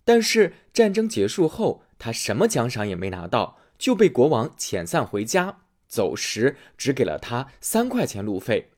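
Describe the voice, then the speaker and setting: Husky, animated male storyteller. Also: mid-range voice, moderate pace. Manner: expressive, animated. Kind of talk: children's fairy-tale reading.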